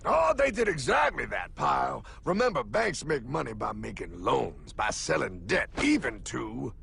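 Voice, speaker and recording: A man voicing a cocky, mysterious time-travelling cartoon mentor, gravelly, smug and theatrical. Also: medium pitch, very animated, a little slow, a little hiss or hum, heavily acted. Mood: knowing. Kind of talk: cartoon voice acting. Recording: loud music behind the voice.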